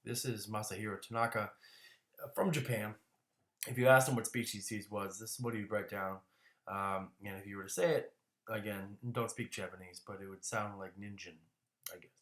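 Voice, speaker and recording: A man gives a casual classroom lecture in a lowish, bright, forward voice. He trips over words and restarts them as he goes. The sound carries a clear room echo. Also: steady tone, normal speed. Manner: casual, restarts words, coughs now and then. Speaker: teacher.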